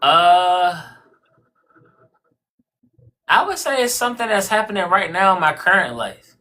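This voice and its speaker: A man's voice: high-pitched, very animated, measured pace, bright, forceful tone. A man in his thirties, a barber guest on a podcast, talking casually and reflectively with lots of "you know what I mean.". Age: 30s. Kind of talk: casual interview chat.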